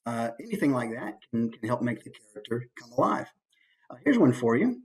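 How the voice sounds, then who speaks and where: A man's voice: mid-range voice, fairly quick. A man giving a casual, playful instructional talk as a voice actor. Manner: animated, playful.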